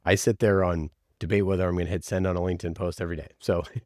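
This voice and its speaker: Deep-voiced man in a podcast interview. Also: level tone, racing, a little mellow, no room sound, clean studio sound. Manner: emphatic, repeats phrases for emphasis. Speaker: podcast producer and interview guest. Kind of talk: conversational interview answers.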